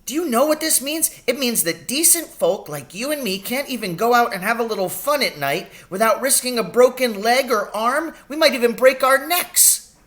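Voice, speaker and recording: A man voicing an excitable, kindly little old country doctor in a storybook, animated and full of relish. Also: very high-pitched, moderate pace, voice pushed up. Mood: outraged.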